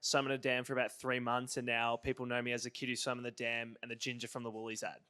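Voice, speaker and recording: A man's voice: medium pitch, little pitch movement, quick pace, pushed and bright, smooth. A man from Australia with an Australian accent, laid-back with dry humour, introducing himself. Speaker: panelist, swimmer.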